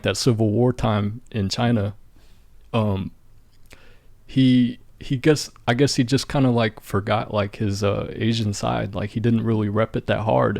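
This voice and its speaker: A young male podcast host from St. Louis with a gravelly voice that sits on the low side. He talks in a loose, rambling way, and the sound is dry and close with no room echo. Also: a little mellow. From St. Louis, Midwest US. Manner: laid-back, rambling, heavy use of "like". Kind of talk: casual conversation.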